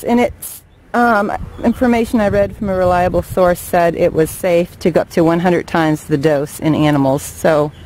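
A woman's voice: on the low side, darkish tone, slight background noise, rough recording. A woman keeping backyard chickens, talking in a plain, matter-of-fact way with some ums as she explains, filmed outdoors on a handheld camera. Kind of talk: casual informational vlog.

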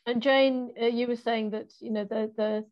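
Flat, gravelly woman moderating a panel. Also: a little high, normal speed. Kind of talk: online panel discussion. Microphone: video call laptop mic. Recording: dull lo-fi video call audio.

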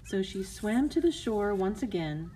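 Mellow woman storyteller. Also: mid-range voice, animated, unhurried, slight background noise. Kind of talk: scripted fairy tale narration for children.

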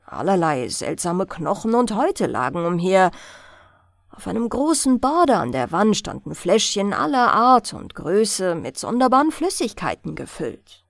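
A woman narrating a fairy tale as a bedtime audiobook, in a deliberate, expressive storytelling manner. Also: mid-range voice, vocal fry, no echo, studio quality.

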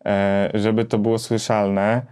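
A man in his thirties explaining a gear comparison conversationally, with hesitant pauses as he thinks. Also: lowish voice, flat delivery, a little mellow, smooth. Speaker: gear reviewer and co-host. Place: small home music studio, indoors.

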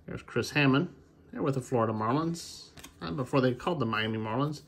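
A man's voice: medium pitch, brisk, vocal fry, slightly rough. An American man chatting casually and unscripted, rambling a little as he thinks aloud like a hobby video host. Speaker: video host, baseball card collector. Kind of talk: casual unscripted chat, commentary while opening card packs.

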